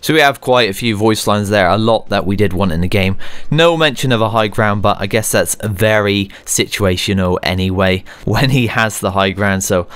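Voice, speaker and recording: A man's voice: fairly low pitch, moderate pace, a bit bright, smooth, no echo. A man presenting semi-scripted gaming news as a channel host, speaking to viewers directly and informally.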